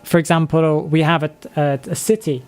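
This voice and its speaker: Chatty young man streaming, slightly high voice. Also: level tone, normal speed, darkish tone, smooth, soft background music. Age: 20s. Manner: chatty, laughs often, restarts words. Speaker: game streamer reading chat. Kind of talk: casual live-stream chat.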